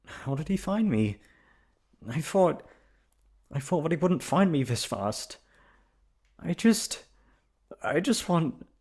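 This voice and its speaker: Storybook young princess, acted by a man, defiant and wounded, pitched up, emotional. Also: sing-song, takes it slowly, long pauses, a little mellow, slightly rough, exaggerated voice. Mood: tearful, shaken. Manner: pleading, emotional outbursts. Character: young runaway princess, upset, defiant, wounded little sister.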